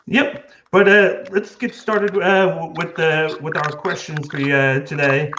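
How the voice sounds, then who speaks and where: A man's voice: fairly high pitch, level tone, measured pace, sharp tone, muffled recording, slight background noise. A man hosting an interview show, asking questions in a hesitant way, full of fillers and restarts. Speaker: podcast host.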